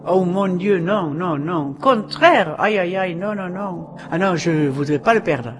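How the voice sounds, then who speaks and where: A man speaks emphatically, with many exclamations. His voice sits a little higher than average. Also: animated, measured pace, faint music behind.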